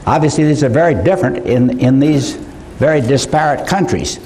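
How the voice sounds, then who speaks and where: An older man giving a conference lecture, halting, with many fillers and restarts. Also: mid-range voice, a little mellow, a little hiss or hum, rough recording. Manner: slow, halting delivery with frequent fillers. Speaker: conference speaker. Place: conference hall.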